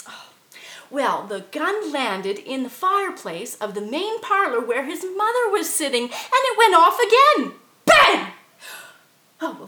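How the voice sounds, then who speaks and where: A woman playing the ghost of a murdered 1870s wife, telling her story theatrically, swinging from shrieks to hushed confiding. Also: sits high, echoey room, exaggerated voice. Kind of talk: costumed ghost monologue.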